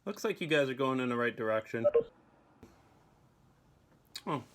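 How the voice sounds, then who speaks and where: A man's voice: mid-range voice, animated, unhurried, a bit bright. A man playing a flustered, hesitant middle-aged teacher fumbling with technology in a mockumentary. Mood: concerned. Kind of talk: acted mockumentary, video meeting.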